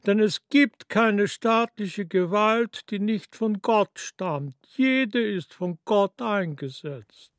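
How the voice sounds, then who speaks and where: A woman playing a solemn, commanding scripture reciter in a radio play, measured and authoritarian. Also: medium pitch, no room sound, clean studio sound.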